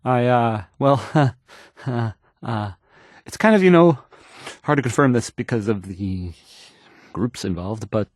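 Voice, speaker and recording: A man's voice: mid-range voice, lively intonation, a little slow, no echo, clean studio sound. An actor playing a nervous, awkward young male radio DJ in a game, stammering and hesitating through the news, rambling and unsure of himself. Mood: hesitant, awkward. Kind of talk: fumbled radio news report in a video game.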